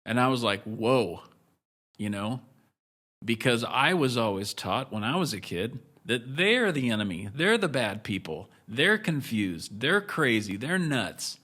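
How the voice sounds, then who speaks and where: A man's voice: mid-range voice, sing-song, unhurried, bright, forceful tone. A man as a guest on a candid podcast, talking bluntly and with some swearing, breaking off and restarting his sentences. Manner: blunt and profane, speaks in bursts with restarts.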